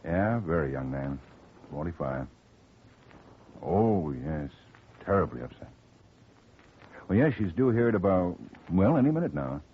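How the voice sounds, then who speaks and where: A man playing a smooth middle-aged lawyer in a 1950 radio drama, genial and chatty, quietly scheming. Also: sits low, sing-song, unhurried, long pauses. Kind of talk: 1940s radio acting. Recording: tinny faint-hiss old radio recording.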